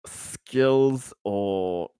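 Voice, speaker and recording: Male tabletop player, casual, lowish voice, unhurried delivery, close dry sound. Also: dark tone, clean tone, no room sound. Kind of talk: casual game chat.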